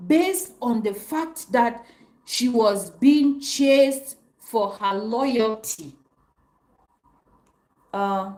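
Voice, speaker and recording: A young adult woman hosting an opinion commentary, talking emphatically to viewers, repeating her points and filling pauses with um and uh. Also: mid-range voice, mellow, poor audio. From African country outside Nigeria and South Africa. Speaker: commentary channel host.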